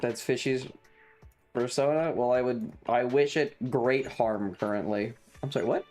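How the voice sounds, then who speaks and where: A man's voice: medium pitch, unhurried, darkish tone, faint music behind. A man live streaming a video game in a casual, chatty way, sarcastic and exasperated, often reading game text in a dismissive manner.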